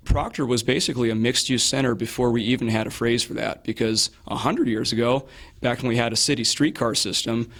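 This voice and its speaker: A hoarse man answering interview questions as a local candidate, measured and hedging, with frequent fillers and restarts. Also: mid-range voice, fairly bright tone. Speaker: political candidate.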